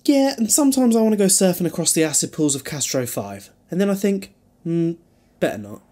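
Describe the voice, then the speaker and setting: A man playing a flippant, protective alien time traveller in a fan audio drama, with a husky voice and wry, bantering delivery. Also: high voice, sing-song, fairly quick, dark tone.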